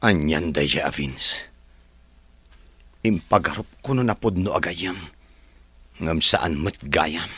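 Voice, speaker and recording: A man playing a calm older adviser in a radio drama, speaking in a deep, slow voice. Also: slightly rough, dry recording, full character voice. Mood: disappointed.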